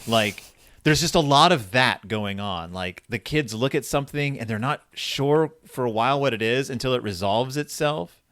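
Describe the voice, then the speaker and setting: A man hosting a casual discussion, retelling stories and reading passages aloud in an animated storyteller's manner. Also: medium pitch, moderate pace, no echo, studio quality. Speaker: podcast host discussing horror fiction.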